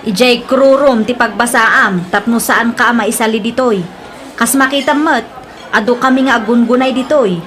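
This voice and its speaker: A woman's voice: a little high, normal speed, crowd noise, lightly acted. A Filipino woman with an Ilocano accent playing a strict, impatient, scolding workplace supervisor in a comedy-drama. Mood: brisk instructing. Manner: scolding, exasperated.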